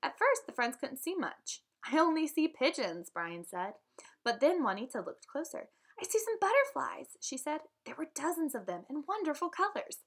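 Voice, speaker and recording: Expressive teacher reading a picture book. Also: female voice, high-pitched, normal speed. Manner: expressive storybook reading with lively voices for dialogue. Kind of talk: reading a children's book aloud.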